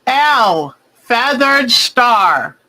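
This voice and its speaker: A woman, hosting a quilting bingo game and calling each clue twice, slowly and deliberately. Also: on the high side, very animated, pushed and bright, low-quality recording. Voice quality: big voice.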